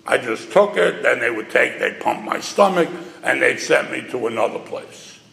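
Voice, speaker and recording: An older man from New with a gravelly voice, telling a personal story with wry, self-mocking humor to a live audience over a stage microphone. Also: medium pitch, expressive, normal speed, a bit bright. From New York. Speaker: speaker addressing an audience. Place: large hall before a live audience. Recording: reverberant hall sound.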